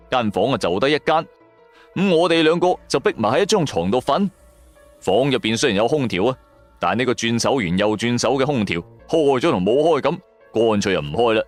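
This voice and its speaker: Male storyteller, Cantonese accent, very lively intonation, colloquial, theatrical, mid pitch, background music. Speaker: storyteller, narrator of an audio drama. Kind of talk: dramatised first-person story narration.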